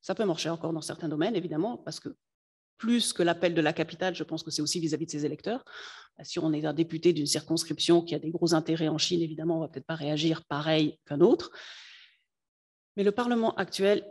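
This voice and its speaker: A native French speaker giving a lecture to students, often pausing to search for words. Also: medium pitch, slightly rough. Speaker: guest speaker on European affairs.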